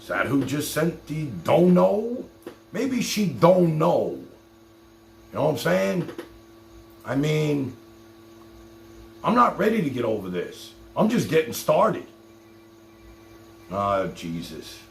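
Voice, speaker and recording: A man's voice: fairly high pitch, sing-song, slow, creaky, pauses often. Guy hosting a stream, casual street talk, echoey room, some hiss. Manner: colloquial, streetwise, direct address. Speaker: live stream show host. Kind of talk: casual live stream chat, taking calls. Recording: roomy, noisy live stream.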